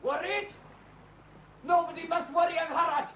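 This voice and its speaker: High-voiced, defiant man, distant and echoing. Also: normal speed. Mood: defiant, frenzied. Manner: declamatory, shouting at times. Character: distraught, defiant man confessing to murder. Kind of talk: 1970s BBC radio drama acting. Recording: noisy echoey old radio recording, distant.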